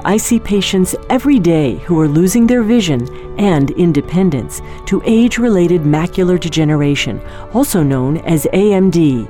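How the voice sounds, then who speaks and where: A woman reads a scripted health announcement as a doctor. Her voice is fairly low and soft-edged, warm and mellow, with faint music behind it. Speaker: doctor in a public service announcement.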